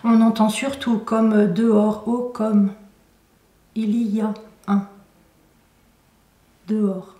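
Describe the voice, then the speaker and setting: Woman reading her poem slowly, soft dark tone, kinda roomy and far from the mic. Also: mid-range voice, level tone. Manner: measured, deliberate phrasing with pauses. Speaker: poet reading own work. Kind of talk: poetry recitation. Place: indoor room at a poetry venue. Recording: roomy echo, recorded from a distance.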